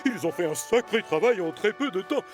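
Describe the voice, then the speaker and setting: Un comédien jouant un gros chef des chemins de fer, poli mais vite dépassé, dans un dessin animé. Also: male voice, high-pitched, lively intonation, a little fast, faint music behind. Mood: polite. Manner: polite, flustered. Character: stout railway boss, polite but anxious.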